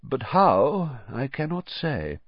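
A man's voice: mid-range voice, huge pitch swings, slow, no echo, muffled recording, voice pushed up, heavily acted. A man voicing a vain, boastful wizard professor in an audiobook, with a showy, self-important and breezy manner. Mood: smug.

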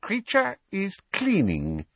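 A man's voice: high-pitched, huge pitch swings, takes it slowly, vocal fry, fairly bright tone, slightly rough, no room sound, pitched above the performer's own voice, exaggerated voice. A man voicing a bitter, servile old house-elf in a storybook, muttering about himself in the third person. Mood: fawning, evasive.

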